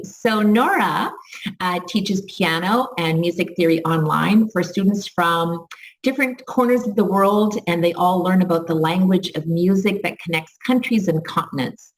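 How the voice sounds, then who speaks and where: A woman's voice: medium pitch, distant mic, low-quality recording. Enthusiastic woman hosting an online interview. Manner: enthusiastic, encouraging. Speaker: interview host.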